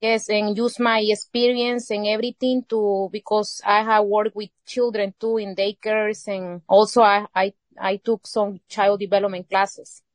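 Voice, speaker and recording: A woman from El Salvador whose first language is Spanish, speaking English with a Salvadoran Spanish accent as an interview guest, halting and searching for words with many pauses. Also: medium pitch, flat delivery, no room sound. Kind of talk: casual interview conversation.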